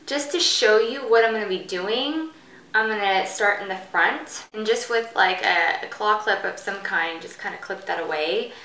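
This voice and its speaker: A woman's voice: mid-range voice, normal speed, bright, forceful tone, reverberant, low-quality recording. A woman hosting a casual step-by-step hairstyling tutorial, explaining in an instructional way.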